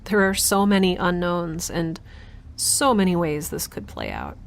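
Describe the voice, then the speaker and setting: A woman's voice: lowish voice, huge pitch swings, unhurried, gravelly, heavily acted. A woman playing a weary, overwhelmed young scientist in a science fiction audio drama, speaking a hesitant, wry and confiding diary monologue. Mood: weary, brooding. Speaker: narrator and lead of an audio drama.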